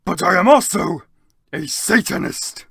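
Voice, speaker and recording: A reader voicing an overwrought, lovestruck male wizard student who proclaims everything haltingly and bombastically. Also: mid-range voice, animated, vocal fry, a bit bright, slightly rough, no room sound, studio quality, pitched above the performer's own voice, heavily acted. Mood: grandly defiant.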